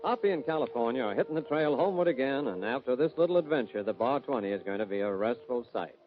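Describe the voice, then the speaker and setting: Animated male old-time radio announcer. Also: medium pitch, fast, narrow, tinny audio. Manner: polished, theatrical sign-off. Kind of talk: 1950s radio announcing, scripted.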